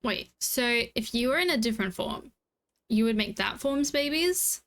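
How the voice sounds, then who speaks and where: A woman's voice: a little high, lively intonation, moderate pace, fairly bright tone. A woman streaming a game, giving casual reaction commentary as she plays.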